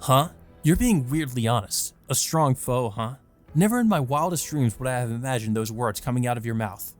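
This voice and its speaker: A man's voice: medium pitch, expressive, moderate pace, faint music behind, natural voice. A man voicing a foul-mouthed, hot-tempered male vampire hunter who curses and snaps at everyone. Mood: angry. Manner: cursing, gruff, aggressive.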